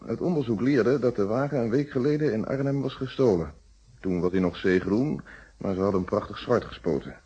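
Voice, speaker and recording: Low, husky police inspector. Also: male voice, darkish tone, dull audio. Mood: matter-of-fact. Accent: Netherlands Dutch. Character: police inspector, steady, reflective, recounting his investigation. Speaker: actor in a detective radio play. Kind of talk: Dutch radio drama, conversational storytelling.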